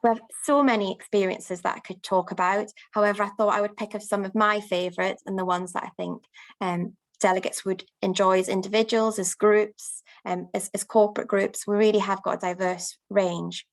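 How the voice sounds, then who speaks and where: Woman promoting a city to event planners, upbeat and unscripted, mid-pitch voice with a gravelly fry. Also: flat delivery, a little fast. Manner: frequent "um" fillers, upbeat. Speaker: destination promoter.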